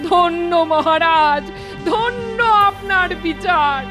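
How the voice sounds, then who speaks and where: Jealous old cartoon granny, very high voice, slow whiny delivery, music behind. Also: female voice, lively intonation, exaggerated voice. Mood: vengeful. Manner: wailing, pleading, spiteful. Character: jealous old grandmother Monda, shrill and whiny. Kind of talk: cartoon voice acting.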